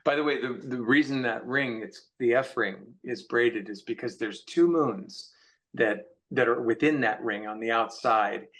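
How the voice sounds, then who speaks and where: A man's voice: medium pitch, measured pace, a bit bright, rough recording. A man speaking as an interview guest, giving reflective, conversational answers.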